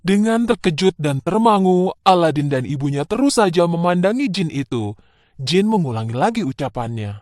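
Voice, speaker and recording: A man narrating a scripted children's fairy tale in an expressive, dramatic way. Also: high-pitched, moderate pace, no room sound, clean studio sound. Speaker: storyteller. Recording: music behind the voice.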